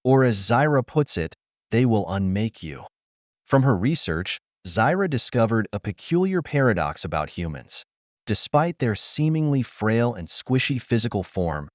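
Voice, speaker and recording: A man reading scripted science fiction as a narrator, in a measured, dramatic storytelling delivery. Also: lowish voice, no echo, studio quality.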